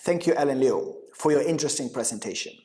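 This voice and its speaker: A man moderates a webinar and introduces the next speaker. He is brisk and formal. His voice sits at a mid pitch. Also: mellow.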